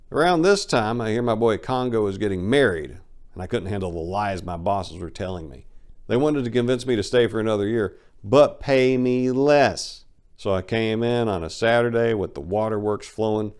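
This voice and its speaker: Male audiobook narrator, slightly low register, expressive first-person storytelling with emphatic swings. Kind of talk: dramatic first-person memoir reading.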